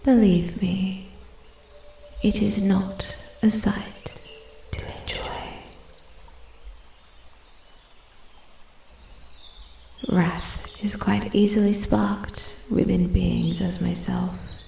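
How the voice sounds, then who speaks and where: A woman voicing an ancient female forest spirit in soft-spoken ASMR roleplay, breathy and often whispering, formal, intrigued and quietly menacing. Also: medium pitch. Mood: quiet menace. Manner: slow, deliberate, archly formal. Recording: echoey, with steady ambient forest noise. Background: forest ambience, birds and animal sounds.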